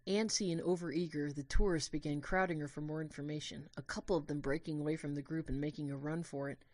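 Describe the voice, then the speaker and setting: Female narrator, very low pitch, scripted first-person storytelling, dry close recording, audio drama. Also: fairly even intonation, fast talker, darkish tone, dry recording.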